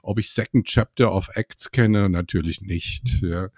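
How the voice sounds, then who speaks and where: Middle-aged man, low voice, candid storyteller, wry, dry sound. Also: unhurried, darkish tone, dry recording. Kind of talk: casual faith conversation.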